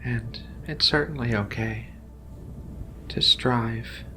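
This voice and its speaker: A man speaking softly and reassuringly in an ASMR roleplay as a gentle, philosophical alien researcher. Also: medium pitch, music in the background. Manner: soothing, unhurried, reassuring. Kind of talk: soft-spoken ASMR roleplay.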